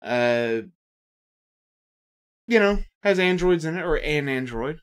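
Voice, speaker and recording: Animated, profane man podcasting. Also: fairly high pitch, clear, resonant tone. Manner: animated, profane, quick asides and impressions. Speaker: podcast co-host. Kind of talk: casual gaming podcast chat. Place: indoor studio set.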